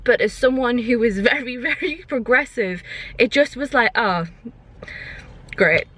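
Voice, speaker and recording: Higher-pitched young woman, exasperated and emphatic. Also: expressive, a little slow, fairly bright tone. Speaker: vlogger. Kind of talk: casual vlog chat, venting opinions.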